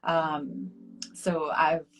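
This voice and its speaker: A woman's voice: low-pitched, flat delivery, extremely slow, gravelly, soft background music, poor audio. Trinidadian woman in her twenties, chatty. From Trinidad and Tobago. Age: 20s. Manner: chatty, reflective, frequent ums and laughs. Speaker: interview guest, hair artist. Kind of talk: casual interview talk.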